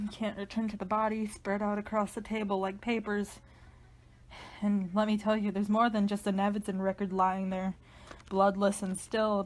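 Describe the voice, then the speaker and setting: A woman performing a dramatic monologue as a haunted, paranoid narrator who is unravelling, with brooding, halting intensity. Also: mid-range voice, close to an everyday voice.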